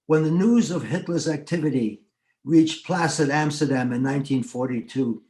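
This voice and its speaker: A man's voice: fairly high pitch, darkish tone. A man in his eighties or older, from the Netherlands, reading a scripted family testimony as a survivor. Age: 80s or older. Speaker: Holocaust survivor giving testimony. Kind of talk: scripted personal narrative.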